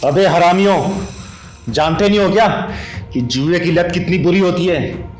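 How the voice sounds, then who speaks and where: A man's voice: high-pitched, normal speed, natural voice. Man playing a fierce, scolding kinnar elder sister. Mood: angry, threatening. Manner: scolding, preachy, commanding. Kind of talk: melodramatic moral-story acting. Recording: roomy indoor sound under loud music.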